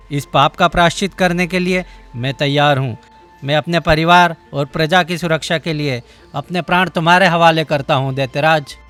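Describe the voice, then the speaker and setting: A man's voice: on the high side, a bit bright, lightly acted. A man voicing an earnest, dutiful king in a cartoon story. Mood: pleading. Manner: earnest, pleading. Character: brave king, puzzled then repentant. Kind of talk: Hindi cartoon voice acting.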